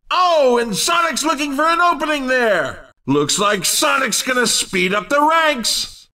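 An actor playing an excitable video game race commentator, calling the action in a punchy, emphatic, hyped style. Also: male voice, very high voice, distant mic, exaggerated voice. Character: excitable, fast-reacting male video game race announcer.

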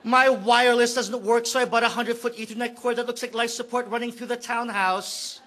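Guy in his 30s doing spoken word on stage, high bright voice, ranting fast then going soft. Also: steady tone. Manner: frantic comic rant turning to hushed lyrical lament. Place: theater stage. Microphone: stand mic, far camera.

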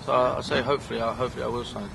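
A man's voice: mid-range voice, steady tone, rapid-fire, vocal fry, background noise. A young man, a footballer answering hesitantly with many ums in an outdoor post-match interview. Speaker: striker.

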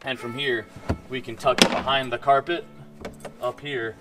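A man's voice: medium pitch, fairly even intonation, moderate pace, loud music behind the voice. A man in his twenties giving a casual, hands-on tutorial to camera in an informal, folksy way, recorded in a home garage. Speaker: host of a car modification vlog. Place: home garage, partly inside a vehicle.

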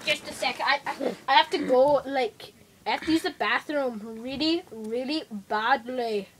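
A woman's voice: sits high, a little slow, slight background noise, low-quality recording. A woman playing a silly, scatterbrained, playful video game sidekick in an improvised amateur skit.

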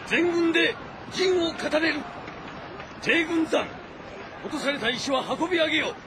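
A man's voice: very high voice, measured pace, a crowd in the background, background noise. An actor playing a keen male military strategist who commands an army, in dramatic audio drama style. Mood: triumphant, commanding.

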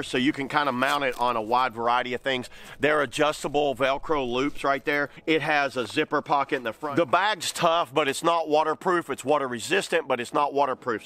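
A man's voice: fairly high pitch, bright, forceful tone. A middle-aged man giving a casual, rambling product review, full of fillers like "you know" and "kinda". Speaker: outdoor gear reviewer.

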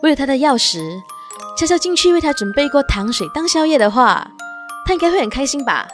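A woman's voice: high-pitched, animated, brisk, over music. A young woman acting in a radio drama as a sweet, devoted girlfriend who is easily wounded. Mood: hopeful, sweet. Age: young adult.

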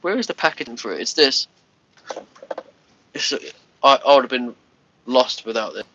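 A man's voice: mid-range voice, animated, moderate pace, bright, forceful tone, husky. A man in his thirties with a Scottish accent, chatting casually to viewers on a livestream from his bedroom, rambling and restarting his words, a little congested. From Scotland. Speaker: livestream host. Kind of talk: casual live chat with viewers. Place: small bedroom, lying on a sofa. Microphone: phone held at arm's length. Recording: lo-fi phone livestream audio.

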